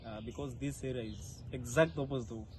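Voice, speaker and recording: A young Kenyan man giving earnest interview answers, with frequent hesitant pauses. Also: mid-range voice, a crowd in the background. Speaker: interviewee, leadership fellow. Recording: clear ambient background noise.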